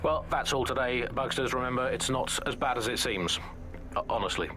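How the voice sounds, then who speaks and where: A man's voice: mid-range voice, noisy background. Mock-pompous British man hosting satirical comedy. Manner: mock-pompous, theatrical delivery with deliberate emphasis. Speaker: satirical podcast host. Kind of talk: satirical news comedy banter.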